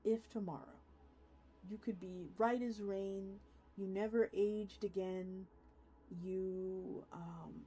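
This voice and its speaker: A woman hosting a livestream speaks in a middle-pitched voice. Her delivery is casual and informal, like talking straight to viewers, with animated reactions as she reads along. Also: a little hiss or hum. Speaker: streamer hosting a live read-along. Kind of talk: casual livestream chat, reacting and reading aloud.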